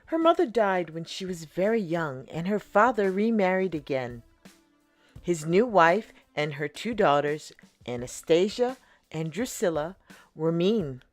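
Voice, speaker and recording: A woman storyteller reading a children's picture book aloud in a slow, deliberate and expressive way. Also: fairly low pitch, dry recording, faint music behind. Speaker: storyteller, channel host.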